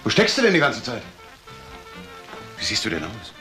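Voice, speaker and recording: An actor playing a protective, take-charge son in a German crime audio drama, urgent yet controlled. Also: male voice, fairly low pitch, wildly swooping pitch, normal speed, full character voice, low-quality recording. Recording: loud music and rain under dialogue.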